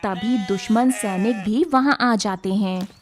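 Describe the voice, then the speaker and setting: A woman's voice: medium pitch, measured pace, slight background noise. A woman narrating a scripted cartoon story as storyteller.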